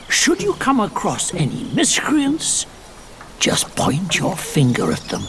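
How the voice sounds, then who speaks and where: An actor playing a small, quirky old earth god in a video game, helpful and excitable, with theatrical, folksy delivery and a creaky voice. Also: male voice, a little high, background noise, exaggerated voice. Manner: theatrical, folksy, chuckling, old-fashioned wording. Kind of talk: video game character acting.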